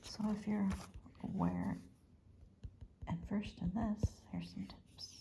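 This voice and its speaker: A woman's voice: mid-range voice, very animated, takes it slowly. A woman whispering through an ASMR reading, playful and chatty in her asides. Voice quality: mostly whispered, breathy and soft. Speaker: ASMR vlogger.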